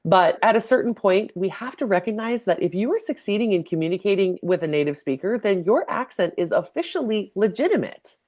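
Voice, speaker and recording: A woman's voice: medium pitch, lively intonation, brisk, hardly any pauses, a little mellow. A woman from Canada, a language teacher co-hosting a podcast, talking casually and laughing often. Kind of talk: casual chat.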